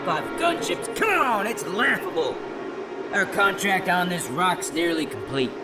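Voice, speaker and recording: Cocky alien sniper, high voice, brushing everything off, radio drama, loud music. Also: male voice, sing-song, unhurried. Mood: cocky, dismissive. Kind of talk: audio drama acting.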